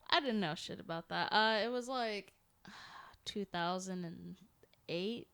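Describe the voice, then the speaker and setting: A woman's voice: medium pitch, slow, gravelly, a bit bright, clean tone. A young American woman co-hosting a podcast, bantering casually with slang, jokes and easy swearing. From North Carolina, United States. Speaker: podcast co-host.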